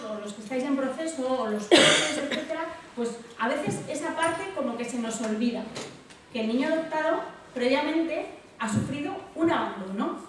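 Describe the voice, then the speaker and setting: High-voiced Spanish woman in an echoey hall. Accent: Spain Spanish. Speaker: guest speaker. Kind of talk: informal public talk to families. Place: echoey indoor hall at a public talk. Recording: very echoey hall, distant mic, background noise.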